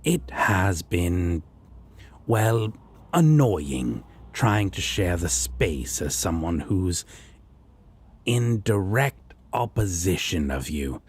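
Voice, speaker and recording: A man's voice: low voice, sing-song, very slow, breathy edge, exaggerated voice. A man playing a sardonic demon roommate in an audio roleplay, exasperated yet fond, with a dry drawl and pet names. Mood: dry, annoyed.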